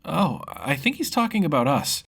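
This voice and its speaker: Casting: man to play trusting, dopey young male adventurer for a fantasy tale, easygoing, lightly husky, pitched up. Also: big pitch swings, moderate pace, fairly bright tone, full character voice. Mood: carefree. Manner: hesitant, easygoing. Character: cheerful, dim, trusting young male sword-loving wanderer.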